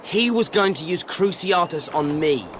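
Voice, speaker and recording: A man's voice: high voice, lively intonation, a little slow, fairly bright tone, a little hiss or hum. A man voicing an earnest teenage British schoolboy wizard in a video game. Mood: confident.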